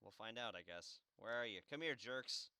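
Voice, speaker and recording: Male game streamer: low, creaky voice, slow wry chat, close dry mic. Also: lively intonation, sharp tone, no echo. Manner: wry, playful, joking. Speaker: gameplay commentator playing the game. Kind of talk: casual let's play chat.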